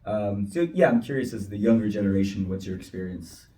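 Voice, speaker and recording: A man's voice: fairly low pitch, brisk, warm tone. Male panel moderator, conversational and slightly rambling, heard from a distance in an echoing room. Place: panel event room with ambient noise. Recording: echoey room, distant, faint noise.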